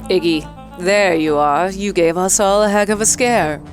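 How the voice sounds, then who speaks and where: A woman voicing a smiling, folksy man who is a quietly sinister villain in a video game, falsely reassuring in manner. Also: lowish voice, unhurried, faint music behind, lightly acted. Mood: falsely warm.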